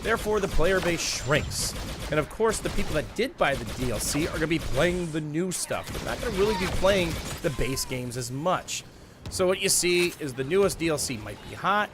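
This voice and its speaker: A man's voice: a little high, lively intonation, moderate pace. A man presenting gameplay commentary to viewers like a gaming YouTuber, emphatic and stretching the words he stresses. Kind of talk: scripted-sounding gameplay commentary, addressing viewers. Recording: clear background noise.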